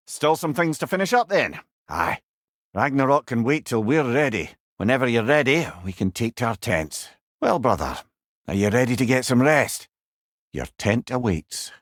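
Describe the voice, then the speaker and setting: A man playing a wise, witty older companion in a video game, with a Scottish accent and a wry, avuncular, expressive manner. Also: mid-range voice, normal speed, no echo, studio quality, natural voice. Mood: guiding, calm. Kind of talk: video game character dialogue.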